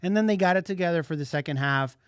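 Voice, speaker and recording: A man co-hosting a soccer podcast in casual chat, excitable and repetitive, dropping Spanish words into his English. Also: a little high, fast talker, clean tone, no echo, studio quality. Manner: excitable, repeats himself, mixes Spanish into English. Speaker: podcast co-host.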